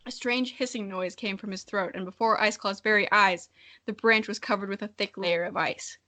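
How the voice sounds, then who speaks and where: A woman's voice: medium pitch, fairly even intonation, moderate pace, pushed and bright. A young adult woman co-hosting a podcast, reading a story dramatically and chatting in a giggly, self-mocking way. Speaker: podcast co-host. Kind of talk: dramatic reading with casual comic commentary.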